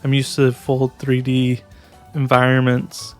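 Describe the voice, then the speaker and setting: A man's voice: medium pitch, completely flat delivery, unhurried, faint music behind. A young man giving a casual video game review, hesitant and restarting his sentences often. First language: English.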